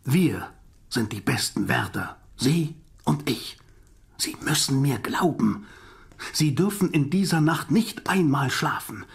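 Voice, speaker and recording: A man acting a grave, commanding older professor in an audio drama, solemn, urgent and deliberate, with a husky voice. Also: mid-range voice, lively intonation, heavily acted. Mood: grave warning.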